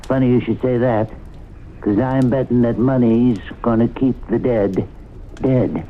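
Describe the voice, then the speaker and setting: An actor playing a shrewd, cynical, rich small-town shopkeeper, sly and folksy, in an old radio drama. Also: male voice, medium pitch, fairly even intonation, slow pace, close to an everyday voice. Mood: sly, confident. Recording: tinny, noisy old radio broadcast recording.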